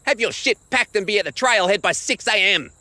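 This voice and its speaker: Pompous high-pitched blustering TV star. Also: male voice, animated, a little fast, sharp tone, exaggerated voice. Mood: furious. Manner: pompous, theatrical, indignant. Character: pompous, hot-tempered male TV monster-hunting star. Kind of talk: audio drama acting.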